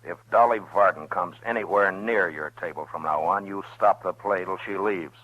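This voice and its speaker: Deep, stern frontier marshal. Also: male voice, normal speed, dry recording. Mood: stern, unyielding. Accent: American. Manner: terse, authoritative, plain-spoken. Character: stern, unbending frontier marshal of a western town. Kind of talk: 1950s radio western acting. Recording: dull old radio recording.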